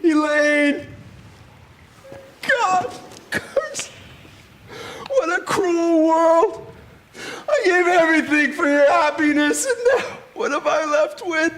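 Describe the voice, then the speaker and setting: A man's voice: very high-pitched, takes it slowly, long pauses, fairly bright tone, a little hiss or hum, full character voice. An actor playing a devoted, grieving man who speaks earnestly in a game cutscene. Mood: anguished. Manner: earnest, breaks into anguished crying. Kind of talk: 1990s game cutscene acting.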